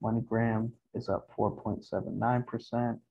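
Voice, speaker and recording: A man hosting a casual stock market update, speaking in short, halting, measured phrases. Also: lowish voice, flat delivery, vocal fry, husky. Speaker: stock video host. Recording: dull, muffled lo-fi home recording.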